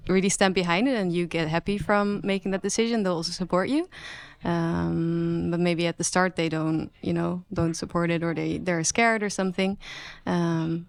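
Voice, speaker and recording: A woman's voice: fairly low pitch, gravelly, clean tone, dry recording. A woman, a podcast guest talking thoughtfully with many fillers. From Netherlands. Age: 20s.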